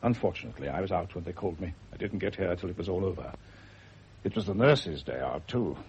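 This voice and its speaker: An actor in a radio drama playing a grave, world-weary family doctor, measured, formal and authoritative. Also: male voice, deep voice, animated, darkish tone. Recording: muffled, noisy old radio recording.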